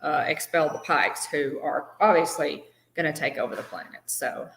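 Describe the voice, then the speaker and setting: Low-voiced woman chatting in an echoey room. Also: moderate pace, vocal fry, fairly bright tone, slight background noise. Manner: chatty, greets viewers by name, many fillers. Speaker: co-host of a live fan show. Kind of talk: casual live-stream chat.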